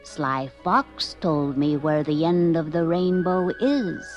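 Woman voicing a sly, hissing, low-pitched little snake. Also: a little mellow, highs cut off, faint music behind. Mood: sly, coaxing. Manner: hissing, slow and drawn-out. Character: sly, slippery young-at-heart male green snake.

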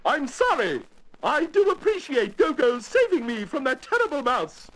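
Man putting on a shrill, imperious cartoon Queen. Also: very animated, normal speed, fairly bright tone, full character voice, rough recording. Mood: grand, gracious. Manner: shrieking, grandly dramatic. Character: hysterical, imperious storybook Queen, adult woman, easily frightened.

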